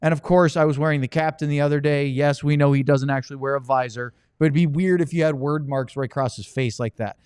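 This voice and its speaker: A man's voice: fairly high pitch, steady tone, fast, few pauses, a little mellow, smooth, no room sound. An American man hosting a casual sports podcast, talking and reading promos into a studio microphone. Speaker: podcast host. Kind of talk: casual sports talk and ad read. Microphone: close studio microphone.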